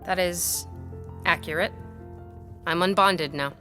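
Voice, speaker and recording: An actress playing an earnest, wary young woman scholar in a period romance audio drama, speaking in formal, measured phrases. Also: on the low side, sharp tone, soft background music. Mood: guarded, resigned.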